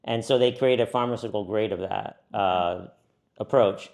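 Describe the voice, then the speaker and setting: A middle-aged man from California, a company founder, explaining his work in a relaxed interview with frequent fillers. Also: lowish voice, normal speed, creaky. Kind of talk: conversational interview.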